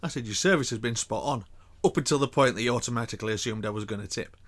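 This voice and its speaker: An opinionated man co-hosting a casual podcast, his voice bright and forceful at a middle pitch. He swears freely and laughs easily as he tells his stories. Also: rapid-fire. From England. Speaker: podcast co-host. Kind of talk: casual chat.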